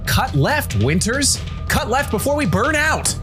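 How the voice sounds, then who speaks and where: A man voicing an urgent, panicked woman on a spaceship crew, shouting with a raw voice in an audio story. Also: very high voice, sing-song, measured pace, over music, pitched above the performer's own voice, full character voice.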